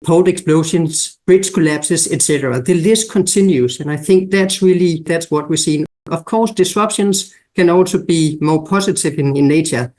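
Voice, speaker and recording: A man with a Danish accent, a guest expert on a podcast interview, explaining in a measured way and listing examples. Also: fairly high pitch, darkish tone. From Denmark.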